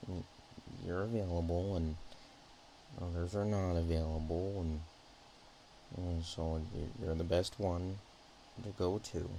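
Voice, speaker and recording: A man playing a naive, nervous, affectionate dragon in a roleplay ASMR, talking in a hesitant, rambling, halting way. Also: low voice, steady tone, dark tone, slight background noise, rough recording. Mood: tired, affectionate.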